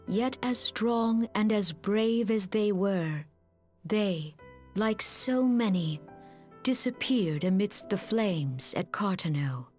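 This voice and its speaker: Slow, solemn woman mystic leader. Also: medium pitch, animated, soft background music. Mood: solemn reverence. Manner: measured, formal, archaic diction. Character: serene, solemn female mystic leader, wise and grave. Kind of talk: scripted fantasy game cutscene acting.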